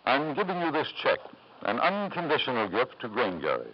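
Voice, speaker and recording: An actor playing a ruthless self-made millionaire in a 1950s radio drama, speaking with measured, polite self-assurance. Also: male voice, mid-range voice, huge pitch swings. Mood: coolly polite. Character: ruthless, smooth self-made millionaire businessman and doting father. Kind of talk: 1950s radio drama acting, narrating and dialogue. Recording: muffled, noisy 1950s radio broadcast.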